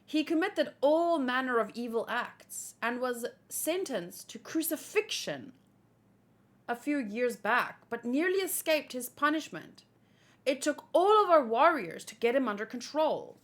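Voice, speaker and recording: A woman hosting a casual read-along, reading comic dialogue aloud and chatting as an animated fan. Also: sits high, a little slow. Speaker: host reacting to a manga chapter read-along.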